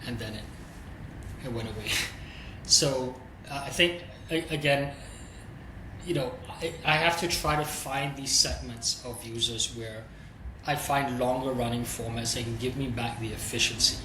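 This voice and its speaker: A man of middle pitch talks through business questions candidly, working out his thoughts aloud. The recording has a roomy echo and a clearly audible background hum. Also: fairly even intonation, unhurried. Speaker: streaming executive guest. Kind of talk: conversational interview answers.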